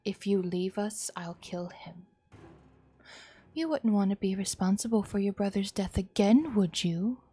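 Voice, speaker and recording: A woman's voice: mid-range voice, unhurried, warm tone, breathy edge, faint music behind. A woman voicing a maniacal, doting mother in a visual novel, theatrical, sweetly coaxing and threatening. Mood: menacing. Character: maniacal doting mother, cloyingly cheerful and menacing.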